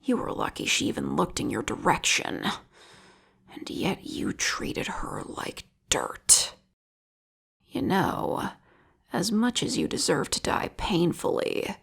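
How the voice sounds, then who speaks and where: A woman playing an obsessive, lovesick female vigilante killer in an intimate audio roleplay, with a whispery, gravelly voice and a slow, taunting manner. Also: fairly low pitch, big pitch swings, a bit bright, full character voice. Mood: bitter, vengeful.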